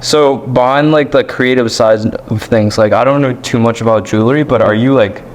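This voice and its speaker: A man with a mid-range voice hosts a laid-back podcast chat. He asks curious, halting questions padded with filler words, and a faint hiss sits under his voice. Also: mid-range voice. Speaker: podcast host. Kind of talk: casual interview chat.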